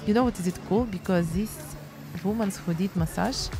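A woman's voice: medium pitch, moderate pace, music in the background. A woman in her thirties with a Ukrainian accent, talking in chatty broken English while filming a travel and painting vlog on her phone. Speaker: painting vlogger. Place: beach outdoors and art studio. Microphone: handheld phone camera at arm's length.

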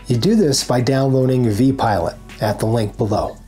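A man in his forties presents a scripted tutorial, speaking to camera in an instructional way. His voice sits at a middle pitch. Music plays behind the narration. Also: moderate pace, mellow. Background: background music.

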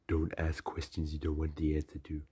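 A man voicing a gruff, irritable, threatening cartoon koopa in a comedy skit, with a husky voice and sarcastic delivery. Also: extremely low pitch, completely flat delivery, brisk, mellow, full character voice. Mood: grudging, grumpy.

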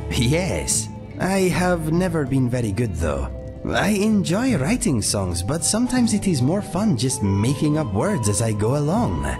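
An actor voicing a modest, self-doubting male adventurer companion in a video game, speaking softly. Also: fairly high pitch, huge pitch swings, a little slow. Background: game music.

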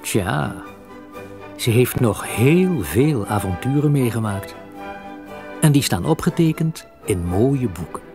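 A man narrating a children's story as a storyteller, expressive and with dramatic pauses. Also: mid-range voice. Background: soft music.

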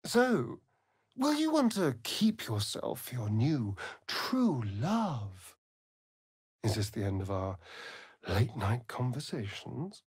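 A man's voice: medium pitch, huge pitch swings, slow pace, a little mellow, husky, no room sound, studio quality, exaggerated voice. A man with a British accent playing a vain, sardonic immortal vampire rogue in a fantasy video game, theatrical and arch. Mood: seductive, teasing. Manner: arch, drawling, flamboyant.

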